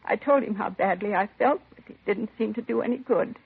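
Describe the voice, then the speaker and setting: A woman's voice: mid-range voice, fairly quick. An actress playing a composed middle-aged mother of a slain son in a 1950s radio crime drama, answering plainly and with restraint. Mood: distressed. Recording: vintage 1950s radio, narrow husky sound.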